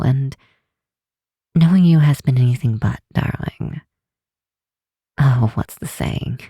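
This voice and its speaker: A woman playing an affectionate, teasing vampire scientist in an intimate ASMR roleplay, speaking softly and unhurriedly to a close friend. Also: sits low, no room sound. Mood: fond, musing. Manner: soft, unhurried, teasing, sometimes breathy near-whisper. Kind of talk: intimate ASMR roleplay, one side of a call.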